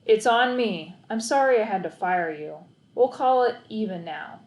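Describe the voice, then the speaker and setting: A woman voicing a gruff but softening male store boss in a children's story read-aloud, clipped and grudging. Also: mid-range voice, lively intonation, normal speed, roomy sound, natural voice.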